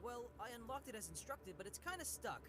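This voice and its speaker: A woman voicing an excitable, cocky, childish teenage boy trainee in an anime visual novel, eager and impatient. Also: on the high side, a little fast. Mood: sheepish, impatient. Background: game music.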